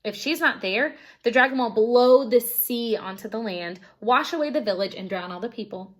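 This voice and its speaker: A cautious, worried gentleman master from a storybook voiced by a woman. He speaks in grave warnings, in a fairly high register. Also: moderate pace, natural voice. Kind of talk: children's storybook read-aloud.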